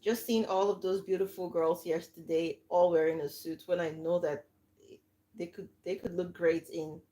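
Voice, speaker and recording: A woman's voice: lowish voice, moderate pace. A woman from Nigeria with a Nigerian accent, hosting a livestream and giving casual, emphatic advice that often repeats itself. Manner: lively, emphatic, repeats herself. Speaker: livestream host and coach.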